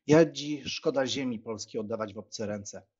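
A man playing a joking young gentleman with a wry, breezy manner in an acted audiobook. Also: mid-range voice, normal speed, slight background noise, close to an everyday voice. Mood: wry, resigned. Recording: slightly dull, lo-fi microphone sound.

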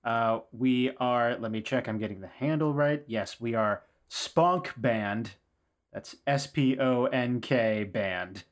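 A man's voice: medium pitch, slow. A man who plays on a game podcast, chatting casually and plugging his socials. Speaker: podcast player.

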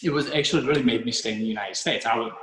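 A man's voice: mid-range voice, very fast, fairly bright tone. A man in his thirties from the Czech Republic, a homeowner chatting on a live house tour, with many fillers and restarts. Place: large bare room with hard floors, indoors. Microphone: camera a few steps away. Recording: echoey room with long reverb.

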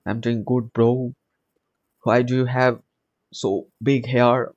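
A man's voice: medium pitch, flat delivery, slow, a little mellow, muffled recording. Hesitant young man on a headset. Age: 20s. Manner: hesitant, searching for words. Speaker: podcast host. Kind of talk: casual video-call chat. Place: small home room, indoors.

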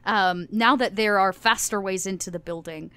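A woman's voice: medium pitch, normal speed, pushed and bright, smooth, no room sound. A woman talking casually as a player in a tabletop game, thinking aloud informally as she improvises.

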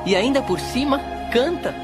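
A woman's voice: high-pitched, animated, moderate pace, music in the background. A woman voicing a kind young male cricket hero in a cartoon dub, earnest and lovesick, with a Brazilian accent. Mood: lovesick, sad. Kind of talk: animated cartoon dub acting.

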